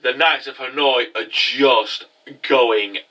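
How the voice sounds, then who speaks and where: A man's voice: mid-range voice, slow pace, bright, forceful tone, recorded from a distance. A young man with a cold, hosting a profane, rambling casual review show. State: congested from a cold. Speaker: anime review host. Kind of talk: casual recap commentary.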